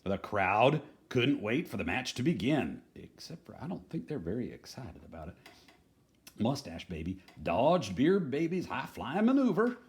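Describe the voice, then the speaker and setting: A man's voice: mid-range voice, wildly swooping pitch, normal speed. A man reading a children's picture book aloud in a folksy, playfully dramatic manner, adding chatty asides. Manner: folksy, playful dramatic delivery, dropping to hushed tones.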